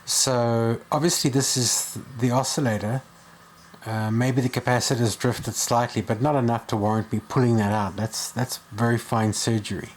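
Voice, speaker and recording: A man narrates a casual repair video. His voice is mid-pitched, and he thinks aloud informally as he works. Some background noise sits behind the speech. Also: little pitch movement, normal speed. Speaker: video host and radio repair hobbyist. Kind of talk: casual hands-on repair vlog.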